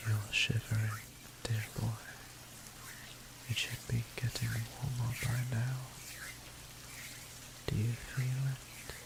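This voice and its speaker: A man playing a slow, gentle vampire priest. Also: mid-range voice, flat delivery. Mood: tender, flirtatious. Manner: soft, breathy, courteous, old-fashioned phrasing. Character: gentle, teasing male vampire priest tending a stranger. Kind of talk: slow intimate audio roleplay, speaking to the listener. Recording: clear hiss and rain sound behind.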